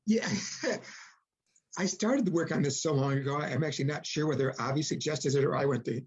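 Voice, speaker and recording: Husky man explaining in an interview, dull audio. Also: on the high side, normal speed, darkish tone. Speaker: author and audio producer being interviewed. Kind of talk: interview conversation.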